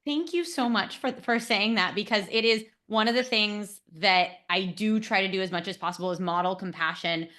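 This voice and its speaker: A woman's voice: medium pitch, normal speed, few pauses, fairly bright tone. A woman hosting a podcast as a nutrition coach, asking supportive, encouraging questions. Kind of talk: conversational podcast interview.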